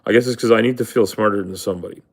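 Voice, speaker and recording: A man's voice: on the low side, rapid-fire, gravelly. A man hosting a live stream, ranting casually, rambling and swearing often. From Canada. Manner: rambling, profane, full of fillers. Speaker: livestream host. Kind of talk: casual livestream rant.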